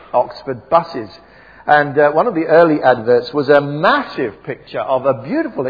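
A man gives a wry, conversational sermon, full of ums and self-corrections. His voice is a little husky, and it carries through the long reverb of a church PA system on a dull, lo-fi recording. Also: medium pitch. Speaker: preacher. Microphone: PA microphone.

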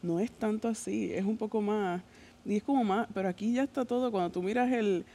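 A woman's voice: mid-range voice, brisk, mellow. A woman from Rico, co-hosting a casual podcast conversation and chiming in often. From Puerto Rico. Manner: frequent listening sounds, chatty.

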